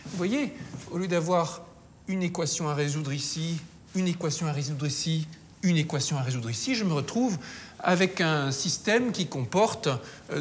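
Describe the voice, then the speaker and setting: A man explains science for a documentary, his voice slightly high. He builds the picture step by step, with small hesitations. Faint noise sits in the background.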